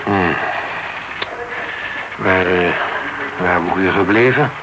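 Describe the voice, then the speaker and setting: A man's voice: sits low, slow pace. An actor in a radio drama playing a cynical, blunt older businessman with a formal, haughty manner. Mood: embarrassed, vexed. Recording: noisy radio drama recording.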